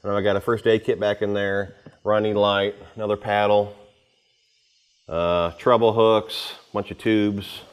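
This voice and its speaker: A man in his thirties giving a casual, unscripted walkthrough to camera in an informal, rambling way, with pauses as he handles gear. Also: on the low side, level tone, clean tone. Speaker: vlogger giving a boat tour. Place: home garage with the door open.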